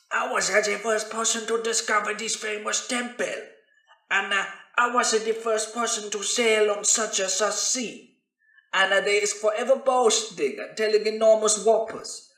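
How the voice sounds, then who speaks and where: A rambling folksy adventurer type, high voice, knowing and cynical, echoey. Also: male voice, fairly even intonation, normal speed, fairly bright tone. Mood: knowing, mocking. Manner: folksy, rambling, nonstandard grammar. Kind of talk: character monologue demo.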